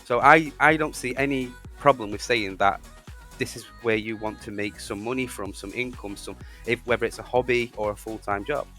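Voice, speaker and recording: A man's voice: medium pitch, moderate pace, sharp tone, soft background music. A man hosting a casual podcast discussion, speaking earnestly and repeating phrases for emphasis. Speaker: podcast host.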